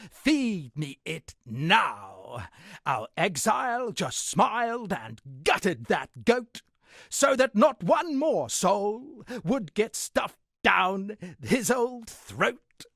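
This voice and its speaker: An actor playing a self-satisfied town poet and storyteller in a video game, speaking in a florid, dramatic manner with a gravelly, husky voice. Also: male voice, sits high, fairly bright tone, no echo, studio quality, exaggerated voice. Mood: grand declaiming. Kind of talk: theatrical game dialogue with declaimed verse.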